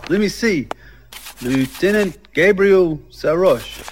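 A man's voice: high-pitched, lively intonation, slow, slight background noise. A man playing a sardonic, goofy joker in a scripted comedy skit about an audio crew. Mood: teasing, wry. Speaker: podcast crew member playing himself.

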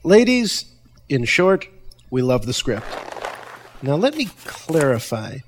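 A man acting as the brisk boss of an audio drama crew in a scripted comedy skit. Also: medium pitch, very animated, noisy background. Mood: brisk, wry. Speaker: podcast crew member playing himself.

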